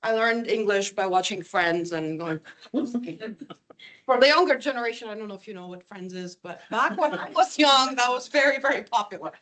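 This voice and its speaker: A high-pitched woman's voice presents to a live audience as a visiting academic. She grew up between Ukraine and Lebanon, speaks Ukrainian and Russian natively and has a foreign accent. Her delivery is informal and chatty, often turning to listeners with questions. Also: moderate pace, a bit bright.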